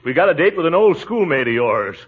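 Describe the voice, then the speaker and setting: An actor playing a pompous, chuckling middle-aged uncle who schemes sheepishly, in a 1940s radio sitcom style. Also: male voice, on the high side, very animated, brisk, loud music behind the voice. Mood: urgent. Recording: tinny, hissy 1940s radio broadcast.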